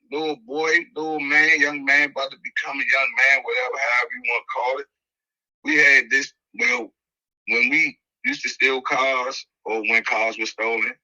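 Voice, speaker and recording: Forceful, bright-voiced D.C. man telling stories. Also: mid-range voice, moderate pace, highs cut off. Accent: Washington, D.C. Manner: animated, slangy, repeats phrases for emphasis. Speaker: podcast host. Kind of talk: casual first-person storytelling.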